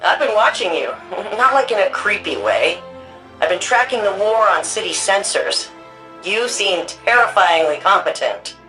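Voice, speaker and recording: An eager, nerdy young woman who runs an archive in a video game. She talks informally with a wry streak, in a medium-pitched voice that swings freely. Light music sits behind her. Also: big pitch swings, measured pace, sharp tone, rough recording. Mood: wry, chatty. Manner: chatty, informal, wry, geeky. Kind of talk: video game character dialogue.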